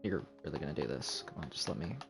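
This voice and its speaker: A man's voice: a bass voice, quick pace, vocal fry. A man streaming a video game with casual live commentary, muttering to himself as he goes. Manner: muttering to himself, counting aloud. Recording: music playing behind the voice. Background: game music.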